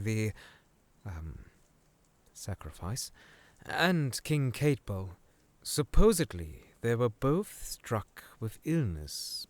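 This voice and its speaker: Man playing a slow, expressive earnest scholar. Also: mid-range voice, no echo. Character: earnest, studious male researcher in a fantasy realm. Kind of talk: fantasy audio drama acting.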